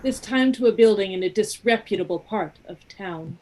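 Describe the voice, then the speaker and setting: A woman narrating a radio play as a measured storyteller, reading scripted literary prose. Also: mid-range voice, a little mellow, natural voice, low-quality recording.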